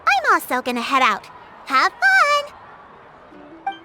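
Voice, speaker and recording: Friendly chief in a video game, responsible type, really animated voice. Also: female voice, extremely high pitch, slow, over loud music, exaggerated voice. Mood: cheerful.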